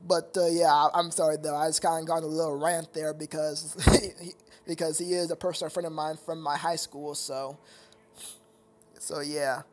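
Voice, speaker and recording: A man's voice: a little high, little pitch movement, normal speed. A young man chatting casually with viewers on his live stream, rambling and stammering with frequent laughter.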